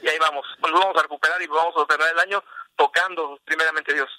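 Bright-voiced man, hesitant with fillers. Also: fairly high pitch, fairly even intonation, fast, dry recording, rough recording. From Veracruz, Mexico. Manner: hesitant, many fillers and restarts. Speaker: musician guest. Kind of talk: podcast interview, casual.

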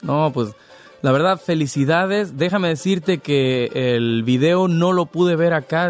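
Relaxed man hosting a podcast. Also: medium pitch. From Veracruz, Mexico. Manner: friendly, congratulatory, relaxed. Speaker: podcast host. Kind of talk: podcast interview, casual.

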